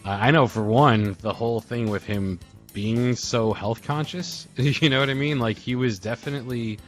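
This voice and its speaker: A man's voice: medium pitch, moderate pace. A man chatting casually and reminiscing on a group call, rambling along in informal, slangy talk. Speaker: podcast host and audio drama group member. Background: music.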